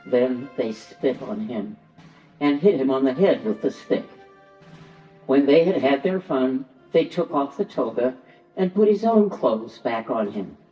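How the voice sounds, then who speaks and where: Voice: mid-range voice, animated, a little slow. A narrator reading a scripted Passion story, telling it dramatically and acting out the quotes. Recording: dull, distant, echoing church sound with music.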